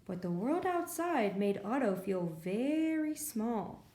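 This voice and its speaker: A woman's voice: a little high, big pitch swings, takes it slowly, warm tone, echoey room, slight background noise. A woman reading picture books aloud to preschool children as a storyteller, expressively and with little asides to her young listeners. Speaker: children's librarian reading stories. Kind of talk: read-aloud picture book narration for preschoolers.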